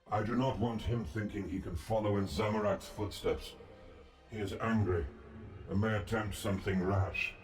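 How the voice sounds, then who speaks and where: An actor playing a calm, solemn ancient god in a fantasy game, speaking in a formal, measured and imperious way. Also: male voice, low-pitched. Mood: commanding. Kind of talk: scripted fantasy game dialogue. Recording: roomy, distant, music behind.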